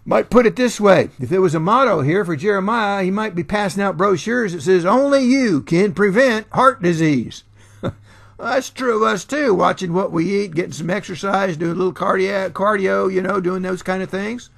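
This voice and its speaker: An older man, a pastor in his sixties or older, preaching a sermon with emphatic, deliberate pauses and repeated phrases in a gravelly, husky voice. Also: high voice, a bit bright. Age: 60s or older. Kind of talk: sermon, expounding scripture to camera. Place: small furnished room at home, indoors.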